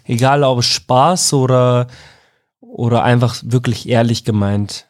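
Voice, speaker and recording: A man's voice: mid-range voice, slow pace, no room sound. Dry-sounding male interviewer from Iraq. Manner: informal, rephrases his questions several ways. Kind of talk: casual youth interview.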